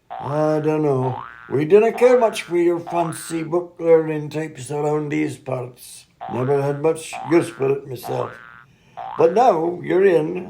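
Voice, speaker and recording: A man voicing an eccentric, gruff Scottish farmer in a comedy radio play, folksy and matter-of-fact about absurd things. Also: on the high side, dark tone, a little hiss or hum, poor audio. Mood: gruff, wary. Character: eccentric old-fashioned Scottish farmer, touchy, gruff host.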